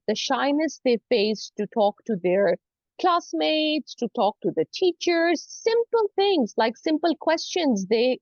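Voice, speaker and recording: High-pitched, animated woman, non-native English speaker. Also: dry recording, highs cut off. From Saudi Arabia. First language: not English. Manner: frequent "you know", earnest explaining. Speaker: guest, university lecturer in academic English. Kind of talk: conversational interview answers.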